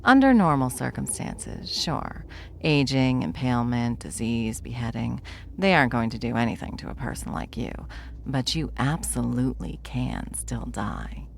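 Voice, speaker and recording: A woman playing a smug, world-weary veteran witch in a fantasy audio roleplay, dry, condescending and unhurried. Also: extremely low pitch, lively intonation, vocal fry, darkish tone, slight background noise, full character voice. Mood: cool, lecturing.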